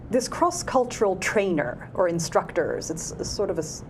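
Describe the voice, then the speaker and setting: A woman's voice: mid-range voice, animated, normal speed, a little hiss or hum. A woman hosting a conversational interview, wry and asking pointed questions, who often says "yes" to back her guest. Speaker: show host.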